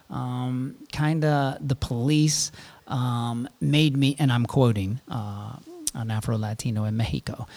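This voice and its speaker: A man with a gravelly, creaky edge to a mid-range voice leads a panel discussion. He teaches Spanish, stays engaged, chimes in often, and reads quotes aloud to his guests. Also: mid-range voice, darkish tone.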